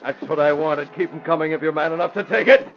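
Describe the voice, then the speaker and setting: An actor playing a tough, commanding masked vigilante in a 1940s American radio drama, giving forceful, clipped orders. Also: male voice, fairly high pitch, fast, noisy background, lightly acted. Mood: commanding, fierce. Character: masked vigilante crime fighter, tough, commanding, also a son. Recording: dull vintage radio recording.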